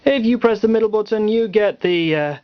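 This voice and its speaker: Higher-voiced British man, casual demo. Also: background noise. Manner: halting, offhand explanation. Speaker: hobbyist presenter. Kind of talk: casual home demonstration. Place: small cluttered room at home. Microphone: handheld camera microphone. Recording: slightly muffled lo-fi camera audio.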